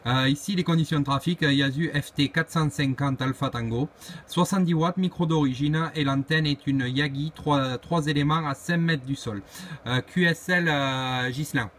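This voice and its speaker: A man working as an amateur radio operator, giving brisk contact reports over a radio transmission. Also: on the high side, a bit bright. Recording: faintly noisy radio contact.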